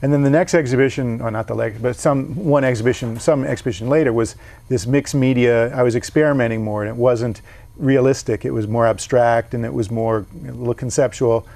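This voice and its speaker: A man's voice: medium pitch, steady tone, brisk, vocal fry, a little mellow, no echo. A man, a painter being interviewed, talking things through thoughtfully with frequent restarts. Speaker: painter and guest. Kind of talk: casual interview.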